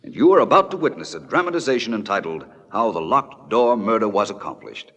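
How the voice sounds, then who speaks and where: A confident gentleman detective in a 1940s radio mystery. His low voice swings widely as he drawls knowingly, urbane and a touch theatrical. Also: big pitch swings, fairly quick, dull audio, exaggerated voice. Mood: knowing, assured.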